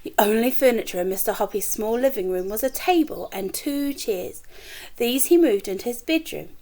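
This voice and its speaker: Female storybook reader, teacher type: higher-pitched, highly animated delivery, expressive dialogue, friendly asides to pupils. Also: moderate pace, creaky. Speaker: teacher reading aloud to pupils. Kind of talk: expressive children's storybook read-aloud with asides to listeners.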